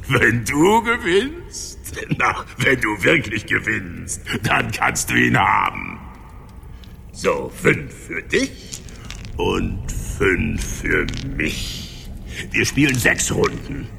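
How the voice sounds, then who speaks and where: An actor in a radio play voicing a huge, ugly, cunning giant, gravelly and breathy, self-pitying and cruel, swinging between whining whispers and shrieks. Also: male voice, on the low side, very animated, extremely slow, sharp tone, slight background noise, heavily acted. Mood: gloating.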